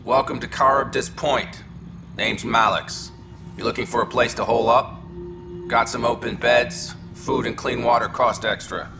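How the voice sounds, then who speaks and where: An actor playing a gruff, wry exile who runs a rough outpost inn in a science fiction video game. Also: male voice, medium pitch, fairly even intonation, normal speed, over music, close to an everyday voice. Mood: wry salesmanship.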